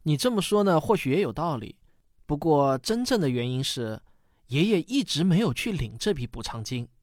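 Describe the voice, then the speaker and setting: Actor as patient dad, male, animated intonation, explanatory, radio play, dry studio sound. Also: fairly high pitch, normal speed, no room sound. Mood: patient, explaining. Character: patient father explaining things to his child. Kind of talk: radio drama dialogue.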